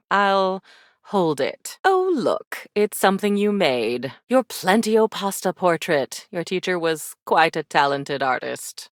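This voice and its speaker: A woman's voice: deep voice, no echo, clean studio sound, heavily acted. A woman playing an arch, darkly elegant embodiment of memories in a video game, drawling and teasing with endearments. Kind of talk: video game character acting.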